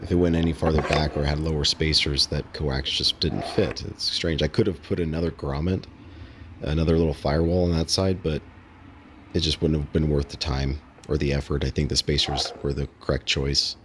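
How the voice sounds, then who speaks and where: A man casually narrating a how-to vlog in a halting, unscripted, matter-of-fact way. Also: a bass voice, steady tone, noisy background. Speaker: host of a DIY install vlog.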